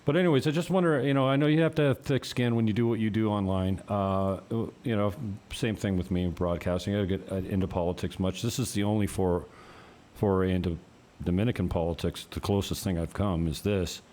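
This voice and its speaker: A man from Canada hosts a casual interview and asks long, chatty, rambling questions. His voice is dark and mellow and sits slightly low. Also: steady tone, fairly quick. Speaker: podcast host.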